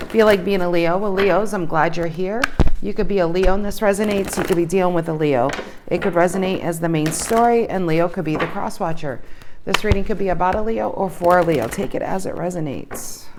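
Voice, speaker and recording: A woman's voice: lowish voice, creaky, a little mellow, a little hiss or hum. A woman giving a casual tarot reading to her viewers, thinking aloud and repeating her questions as she pulls cards, her voice slightly worn. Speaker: tarot reader.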